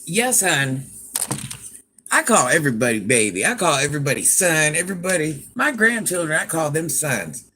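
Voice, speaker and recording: Deep-voiced granny streamer, sassy, bright forceful delivery. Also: female voice, sing-song, moderate pace, slight background noise. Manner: sassy, talks back to commenters by name. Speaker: livestream host. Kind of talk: casual livestream chat.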